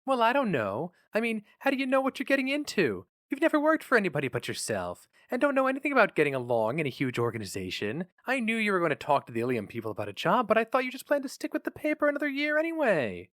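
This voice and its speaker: A man voicing a worried young wife who speaks hesitantly, affectionately and with gentle pleading. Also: high voice, huge pitch swings, quick pace, few pauses, dry recording, clean studio sound, voice pushed up, exaggerated voice. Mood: worried, doubtful.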